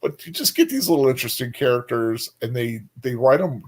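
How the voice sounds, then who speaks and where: A man with an average-pitched voice co-hosts a casual discussion. He talks informally and loosely, working out his thoughts aloud as he goes. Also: big pitch swings, a little fast. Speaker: co-host. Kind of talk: casual chat about books.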